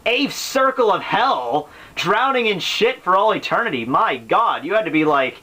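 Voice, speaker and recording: A man's voice: high-pitched, very animated, moderate pace, sharp tone. A man giving casual, rambling commentary on a classic book as host, informal and slangy, with profanity and joking asides.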